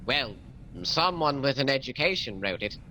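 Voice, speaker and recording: An actor playing a deferential, methodical police sergeant in a radio mystery, careful and deliberate. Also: male voice, mid-range voice, expressive, pushed and bright, a little hiss or hum, low-quality recording. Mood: dutiful. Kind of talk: radio mystery drama acting.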